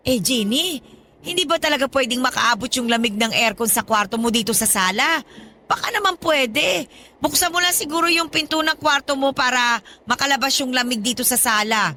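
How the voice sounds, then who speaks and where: Older freeloading godmother, high bright voice, pushy, wheedling; radio drama. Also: lively intonation, moderate pace, slight background noise, exaggerated voice.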